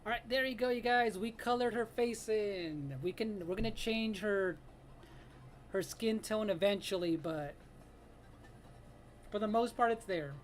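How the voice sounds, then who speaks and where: Late-thirties art streamer, relaxed chat with viewers, frequent laughs, mid-pitched voice. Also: a little slow, pauses often, slight background noise. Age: 30s. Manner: laughs often, greets chat viewers by name. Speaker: live-stream artist host. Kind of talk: casual live-stream chat while drawing.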